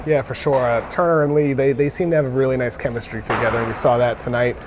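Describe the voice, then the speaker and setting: A man giving casual, analytical basketball commentary as a guest on a panel. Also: mid-range voice. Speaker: sports writer, panel guest. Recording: faint urban background noise.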